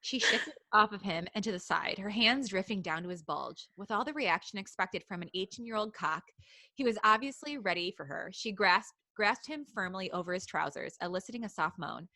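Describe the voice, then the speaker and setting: Bright-voiced giggly woman reading aloud. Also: mid-range voice, expressive, a little fast, no room sound. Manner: reads erotic fan fiction aloud, breaks into giggles. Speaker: podcast host reading fan fiction. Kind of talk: live comedic reading and banter.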